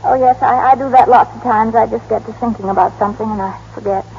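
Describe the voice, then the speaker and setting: A woman's voice: a little high, fairly even intonation, quick pace, gravelly, slight background noise. A woman playing a sweet, modest young working woman in an old radio drama, speaking hesitantly and demurely. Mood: faint, apologetic. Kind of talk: 1930s-40s radio acting. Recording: muffled old radio broadcast.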